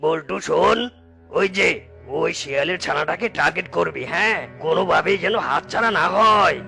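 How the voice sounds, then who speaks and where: Woman putting on a gravelly villain boss. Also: fairly low pitch, normal speed, sharp tone, soft background music, pitched below the performer's own voice, heavily acted. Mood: scheming. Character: gruff male kidnapper boss, vengeful villain.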